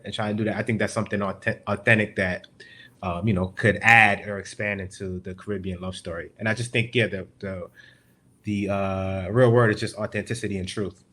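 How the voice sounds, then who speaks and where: Low bright-toned casual man. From United States. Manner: casual, hesitant with many fillers. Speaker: panelist. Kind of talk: panel discussion.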